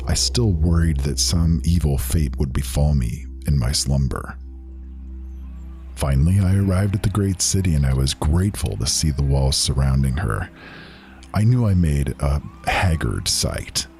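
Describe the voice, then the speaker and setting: A man voicing a very deep, solemn mage. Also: darkish tone, heavily acted. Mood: grave, uneasy. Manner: solemn, archaic storyteller phrasing. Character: proud, earnest old male mage recounting his past. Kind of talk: dramatic fantasy story narration.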